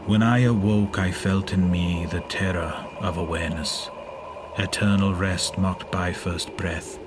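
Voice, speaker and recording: An actor playing an anguished reanimated monster, a man reciting his story gravely in measured horror verse. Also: sits low, fairly even intonation, background noise. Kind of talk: dramatic horror monologue.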